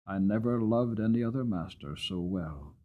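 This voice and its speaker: A man voicing an old war horse in a storybook, mournful and proud, with solemn, measured, emotive delivery. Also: fairly low pitch, animated, phone-quality sound, voice pushed down. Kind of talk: dramatic audiobook reading.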